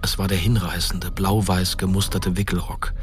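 A man's voice: low voice, level tone, normal speed, faint music behind. A man narrating a scripted radio drama as the storyteller.

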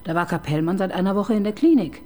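A woman's voice: medium pitch, expressive, fast, a little mellow, close to an everyday voice. A woman playing a dry, sarcastic police chief. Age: 50s. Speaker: police detective chief inspector. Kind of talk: radio crime drama acting.

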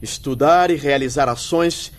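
An actor playing a kindly, earnest spirit medium with formal diction in a radio drama. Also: male voice, medium pitch, unhurried, close to an everyday voice. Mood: approving. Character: kindly, encouraging male medium and friend.

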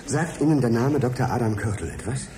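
An actor playing an inquisitive, defiant freelance science journalist in a radio drama, polite but questioning. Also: male voice, mid-range voice, animated, fairly quick, crowd noise, close to an everyday voice. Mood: keen, secretive. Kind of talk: German radio drama acting.

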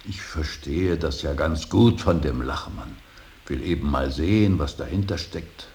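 Very deep, gravelly old painter. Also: male voice, measured pace. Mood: bitter self-doubt. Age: older. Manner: solemn, intense, swings from hushed whisper to outburst. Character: stern, brooding old painter-professor and father. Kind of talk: 1980s German radio drama acting. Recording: hissy radio drama recording.

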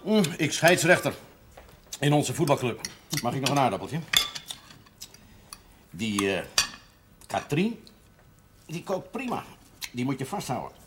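An actor playing a worldly, good-humoured priest in a television drama, talking in an expansive, amiable way. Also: male voice, medium pitch, big pitch swings, a little slow, pauses often. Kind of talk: television period drama acting. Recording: slightly noisy old television soundtrack.

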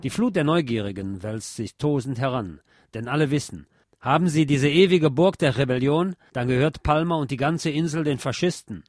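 A man reading a literary text aloud with expressive, emphatic delivery. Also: mid-range voice, moderate pace.

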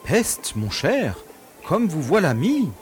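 An actor playing a courteous prison director in an audio drama, with florid, polite phrasing and a matter-of-fact manner. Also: male voice, a little high, wildly swooping pitch, takes it slowly, soft background music, full character voice. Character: courteous, genial prison director, proud of his inmates.

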